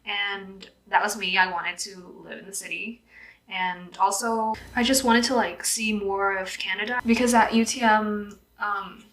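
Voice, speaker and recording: A woman's voice: medium pitch, steady tone, unhurried, fairly bright tone, low-quality recording. A woman in her twenties from Vietnam, chatting casually while getting ready at home, laughing often and filling her speech with "like" and "um". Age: 20s. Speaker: YouTuber. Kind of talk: casual get-ready-with-me chat. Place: indoors at home in a city, doing makeup.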